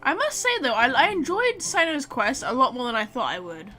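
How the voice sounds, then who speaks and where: High-pitched, animated woman livestreaming a game. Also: fairly quick, soft background music. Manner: chatty, reacting aloud and talking to chat. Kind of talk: casual live game commentary.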